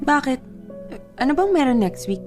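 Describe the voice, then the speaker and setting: A woman's voice: high-pitched, big pitch swings, normal speed, darkish tone, soft background music. A woman acting a fed-up young girlfriend in a radio drama, evasive and exasperated.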